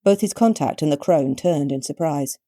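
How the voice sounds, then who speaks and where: Low, mellow British woman reading a story. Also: expressive, moderate pace, no room sound, studio quality. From Britain. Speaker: author reading own short story. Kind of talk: scripted story narration.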